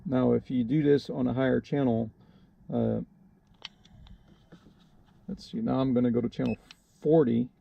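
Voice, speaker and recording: A man's voice: mid-range voice, fairly even intonation, moderate pace, vocal fry, pauses often, muffled recording. A man giving a casual, hands-on how-to explanation in a hesitant, unscripted and modest way, as the host of a DIY video. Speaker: DIY video host demonstrating a radio installation.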